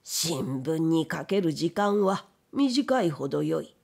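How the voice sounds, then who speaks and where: Storybook role: brooding elderly gentleman female reader performing, commanding, unhurried, old-fashioned turns of speech. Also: on the high side, very animated, gravelly, voice pushed down, exaggerated voice. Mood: commanding, gruff. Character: stern, brooding blind old gentleman, master of the house.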